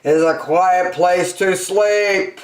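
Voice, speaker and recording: A woman voicing a big, cranky, noisy bear from a picture book, loud and emphatic. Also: fairly low pitch, steady tone, drawn-out words, reverberant, pitched above the performer's own voice. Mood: grumpy. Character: huge cranky, noisy bear, gruff and roaring. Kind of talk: picture book read-aloud.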